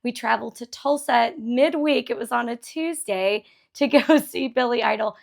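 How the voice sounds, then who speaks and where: Chatty high-voiced woman, slightly scratchy. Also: moderate pace, gravelly. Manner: chatty and enthusiastic, laughs often. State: slightly scratchy voice after a concert. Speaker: vlog host. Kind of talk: casual thrift haul chat.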